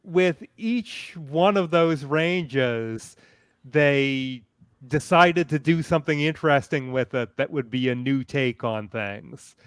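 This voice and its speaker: A man with a fairly high, smooth voice talks slowly and carefully on a fan panel. His manner is measured and explanatory, and the sound is dry and close. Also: no echo. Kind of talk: informative casual fan discussion. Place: indoors, online panel call.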